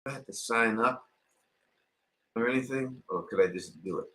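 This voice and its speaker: Rambling New man, bright voice. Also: mid-range voice, normal speed. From Queens, New York. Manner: rambling, lots of filler phrases. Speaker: live stream host. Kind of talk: casual live-stream Q&A.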